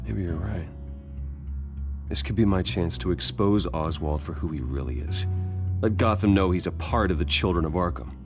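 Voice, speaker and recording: Deep, brooding American hero. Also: male voice, normal speed. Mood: resolute. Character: brooding, determined male wealthy heir and secret vigilante. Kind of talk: video game cutscene acting. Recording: music behind the voice.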